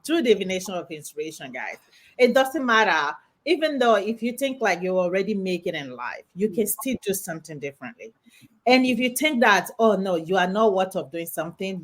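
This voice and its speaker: A woman hosting an online interview show, effusive and full of praise on a video call. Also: mid-range voice. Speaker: show host.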